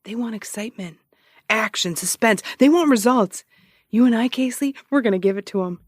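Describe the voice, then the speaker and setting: A woman's voice: a little high, lively intonation, moderate pace, darkish tone, dry recording, clean studio sound. A woman playing a cocky, gullible and defensive private investigator in a comedic audio drama, blunt and boastful. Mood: boastful, excited. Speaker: private investigator, podcast co-host.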